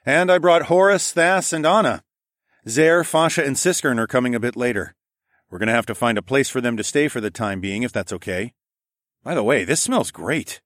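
A man's voice: mid-range voice, normal speed, a bit bright, no echo, studio quality, voice pushed up, close to an everyday voice. A man playing an easygoing, wry husband and adventurer in casual audiobook dialogue. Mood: cheerful.

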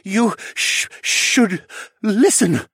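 A man's voice: extremely high pitch, a little fast, fairly bright tone, no echo, studio quality, voice pushed up. A man voicing a haggard old demi-human mage in a fantasy audiobook, frightened, pleading and insistent. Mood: fearful, insistent. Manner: stuttering, desperate, insistent.

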